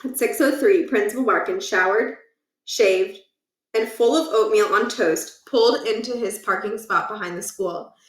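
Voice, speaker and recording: A woman reading a children's book aloud in an animated storytelling style. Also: medium pitch, normal speed, reverberant, recorded from a distance, rough recording.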